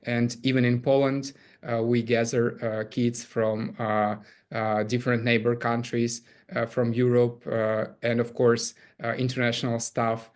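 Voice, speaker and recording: Halting Ukrainian-accented man, flat delivery. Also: medium pitch. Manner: hesitant, many filler pauses. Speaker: camp CEO presenting. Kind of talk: webinar presentation.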